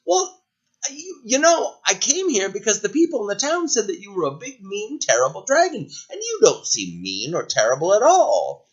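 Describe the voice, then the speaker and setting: Guy doing a careful polite knight, kinda curious, bouncy pitch, muffled audio. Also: wildly swooping pitch, moderate pace, exaggerated voice. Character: big strong male knight, cautious and polite. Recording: dull lo-fi recording.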